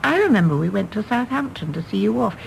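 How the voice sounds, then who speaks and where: An actress playing a wistful, wry middle-aged Englishwoman in a radio play, speaking in a measured, genteel English accent. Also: mid-range voice, animated, gravelly, low-quality recording. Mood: wistful, regretful. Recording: faint background noise and ambience.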